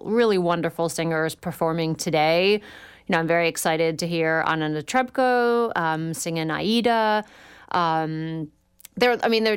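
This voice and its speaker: A woman with a smooth, resonant voice, pitched a little low, speaks as a guest on a radio call-in show. She talks in an articulate, thoughtful way and sounds enthusiastic. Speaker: guest author.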